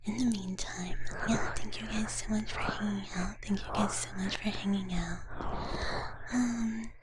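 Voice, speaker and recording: A woman streaming ASMR in a soft, gentle whisper, often repeating her phrases, her voice a little tired. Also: mid-range voice, steady tone, a little slow, very noisy. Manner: speaks of herself in third person. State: voice tiring, slightly strained. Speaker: live streamer hosting as a virtual character.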